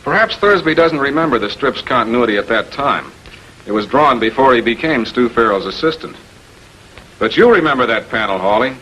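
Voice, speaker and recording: A shrewd American public prosecutor in a 1940s television crime drama. He has a medium-pitched voice and questions suspects methodically and with authority. The old film soundtrack carries faint noise. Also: lively intonation, fairly quick, bright, forceful tone, poor audio. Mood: confident, accusing. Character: shrewd, composed male public prosecutor confronting murder suspects.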